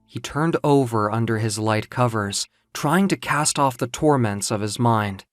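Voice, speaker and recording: Male narrator for dark fiction, medium pitch, dramatic and suspenseful, with music underneath. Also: unhurried, no room sound, clean studio sound. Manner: theatrical, suspenseful. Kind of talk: dramatic scripted story narration.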